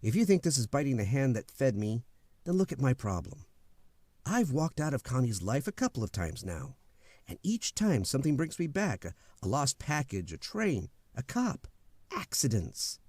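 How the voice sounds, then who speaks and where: A frank young suitor, played by a man, makes his case with earnest directness. The voice is animated and dry, in old-time radio drama style. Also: medium pitch, moderate pace, no echo. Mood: frank, resolute. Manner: earnest, direct speech-making.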